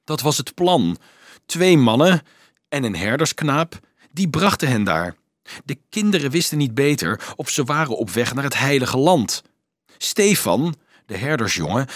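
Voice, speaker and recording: A man acting out an anguished monk in a historical audiobook. He speaks in a pained, pleading way, his pitch raised and very mobile, confessing with shame. The sound is dry. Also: no echo. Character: ashamed, anguished male medieval monk confessing a grim plot. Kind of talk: dramatised audiobook reading.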